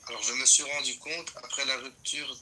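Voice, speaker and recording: A man's voice: medium pitch, steady tone, normal speed, very noisy. A man reading out audience questions, hesitant with fillers.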